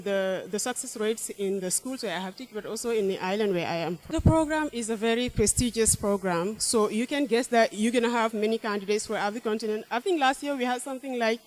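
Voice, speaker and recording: A young woman from Senegal answers a host's questions about her teaching work. She sits a little high in her range and speaks thoughtfully, pausing to restart her sentences and laughing softly now and then. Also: poor audio. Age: young adult. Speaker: guest, English teacher. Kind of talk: casual interview answers.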